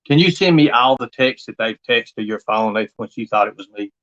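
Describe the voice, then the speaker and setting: A man's voice: mid-range voice, lively intonation, brisk, fairly bright tone. Insistent man, muffled audio. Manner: insistent, repetitive, confrontational. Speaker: livestreamer. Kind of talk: impassioned monologue to an absent person.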